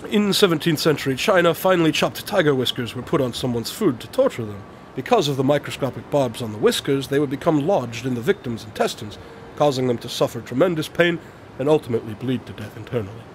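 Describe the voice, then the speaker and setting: A man's voice: medium pitch, animated, fast, slight background noise, lightly acted. A man acting in a radio drama as a brilliant, aloof detective, speaking formally and precisely. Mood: explanatory, assured. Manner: precise, formal, matter-of-fact. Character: brilliant, aloof male detective explaining his deductions.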